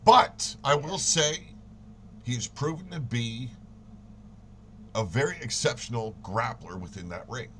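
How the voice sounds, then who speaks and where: Animated, folksy man with crude banter. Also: mid-range voice, unhurried, pauses often, slight background noise. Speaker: co-host of a wrestling podcast. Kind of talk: casual wrestling storyline banter.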